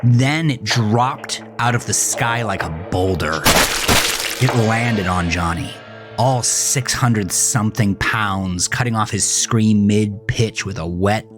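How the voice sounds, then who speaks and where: Slow, brooding man acting a haunted horror narrator. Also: on the low side. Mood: grim, tense. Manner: intense, brooding, deliberate, profane. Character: haunted, rage-filled man retelling a teenage horror. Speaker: narrator of a horror audio drama. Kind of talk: dramatic first-person horror narration. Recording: music bed under the voice.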